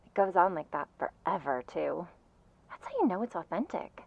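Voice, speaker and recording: A woman's voice: fairly low pitch, expressive, normal speed, vocal fry. Woman acting a devoted servant, muffled sound. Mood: casual, teasing. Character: devoted, eager female servant of a vampire mistress. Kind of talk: ASMR roleplay dialogue.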